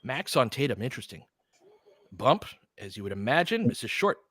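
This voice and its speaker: A man's voice: medium pitch, very animated, moderate pace, fairly bright tone, slightly rough, no echo, studio quality. A man hosting a casual fan livestream, calling basketball in short clipped phrases mixed with chatty asides. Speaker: play-by-play host.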